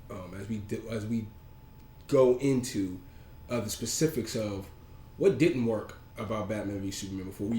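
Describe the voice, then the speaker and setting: A man with a cold and a slightly nasal voice, co-hosting a casual podcast chat, rambling with lots of ums and restarts. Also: mid-range voice. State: has a cold, a bit nasal. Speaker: podcast co-host. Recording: echoey room.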